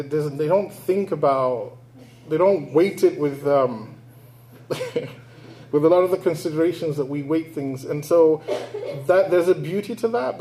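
A man's voice: a little high, dark tone, slight background noise, low-quality recording. A man from Nigeria giving a public talk as a writer, reading his prose and then answering audience questions in a thoughtful, storytelling way. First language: English and Igbo.

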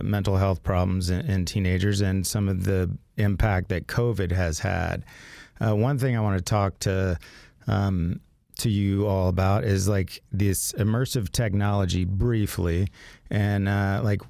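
Raspy low-voiced male radio host. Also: no echo, clean studio sound. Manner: relaxed, reads phone numbers slowly. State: raspy from a sinus infection. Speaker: radio call-in show host. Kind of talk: radio call-in health show hosting. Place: radio studio.

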